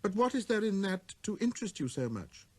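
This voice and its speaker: A man's voice: sits high, big pitch swings, brisk, a little mellow, husky, dry recording, voice pushed up, full character voice. A man voicing a small, curious, persistent boy from another planet in a storybook. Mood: puzzled. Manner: questioning, insistent.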